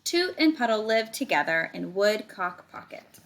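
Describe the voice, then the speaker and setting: Lady teacher doing a kids read-aloud, stretches out words, stops to chat and explain stuff, normal mid pitch. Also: normal speed, gravelly. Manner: animated storytelling, stretches words, chats directly to young listeners. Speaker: teacher reading a picture book aloud. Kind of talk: read-aloud to children with casual explaining asides.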